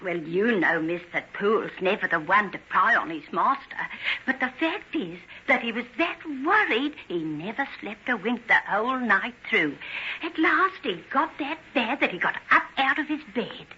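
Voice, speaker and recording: An actress playing an anxious, gossipy working-class English housekeeper, speaking in a breathless, colloquial way in an old radio drama. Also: female voice, on the high side, very animated, moderate pace, bright, forceful tone. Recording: dull, noisy old radio recording.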